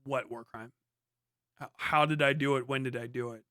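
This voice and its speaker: A man's voice: mid-range voice, fairly even intonation, moderate pace, sharp tone, clean tone, dry recording, clean studio sound. A man giving casual, sweary live commentary as a stream host, blunt and scornful in a slangy way. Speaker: livestream host reacting to a debate.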